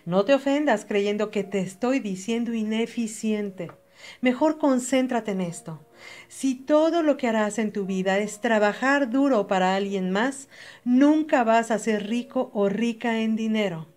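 Earnest Mexican woman, slightly high voice. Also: measured pace, a little mellow. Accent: Mexican Spanish. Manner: direct, earnest, addresses the listener as you. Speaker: channel host. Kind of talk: motivational voice-over talk.

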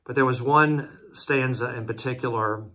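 A man in his sixties, a pastor giving a devotional Bible talk at home, earnest and slowing down to read scripture aloud, recorded close on a camera. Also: mid-range voice, bright, forceful tone. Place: room at home with patterned wallpaper. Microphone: front camera at close range.

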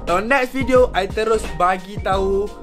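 A man's voice: sits high, measured pace. Young malaysian guy, jokey casual vlog chat, slangy w english bits, music behind him. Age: 20s. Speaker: prank video host. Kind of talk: casual chat to camera and on calls. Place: small bedroom, indoors.

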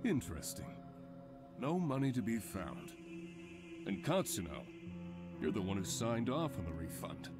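Stern, animated store boss. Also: male voice, fairly low pitch, unhurried, pauses often. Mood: suspicious. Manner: formal, authoritative, condescending. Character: stern, accusing male store boss in a suit. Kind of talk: visual novel voice acting. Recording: music behind.

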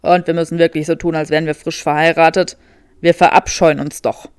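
A woman's voice: low voice, level tone, fairly quick, smooth, dry recording, clean studio sound, pitched below the performer's own voice, lightly acted. A woman voicing a haughty, skeptical young wizard man with a drily sardonic drawl in an audiobook. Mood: reluctant, snide.

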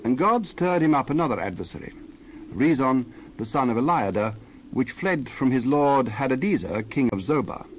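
A man narrating scripture as a scripted dramatized reading, measured and solemn, with careful phrasing. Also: medium pitch, lively intonation, a little hiss or hum, poor audio.

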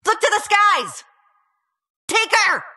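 A lovestruck warrior heroine from a video game. Her voice is high and bright, and she takes her time over short, dramatic lines. The delivery is playful and flirty. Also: very animated, slow pace, full character voice. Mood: urgent, alarmed. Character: playful, lovestruck winged female warrior hero.

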